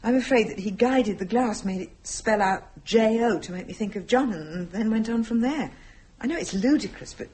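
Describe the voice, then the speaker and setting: An actress playing an anxious wife confiding her fears haltingly and intensely in a radio play. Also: a little high, expressive, dark tone, slightly rough. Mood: fearful, brooding.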